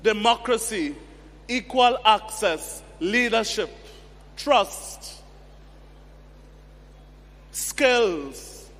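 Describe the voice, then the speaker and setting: A man's voice: high-pitched, lively intonation, very slow, long pauses, fairly bright tone. A man chairing a youth session, prompting the audience in a conference hall. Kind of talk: moderating a youth panel. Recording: hall reverb.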